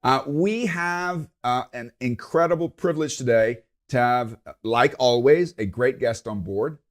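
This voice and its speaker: A man with a mid-range voice hosts his podcast in a loose, rambling way. He pauses often, fills gaps with ums and uhs, and restarts his sentences. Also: medium pitch. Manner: rambling, hesitant, lots of fillers and restarts. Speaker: podcast host. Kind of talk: casual interview hosting.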